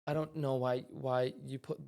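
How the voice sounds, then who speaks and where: A young man co-hosting a casual podcast in a studio on a boom mic, laid-back and quick to laugh. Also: level tone, creaky, darkish tone. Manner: laid-back, laughs often, defends himself. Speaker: podcast co-host. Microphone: studio mic on boom arm.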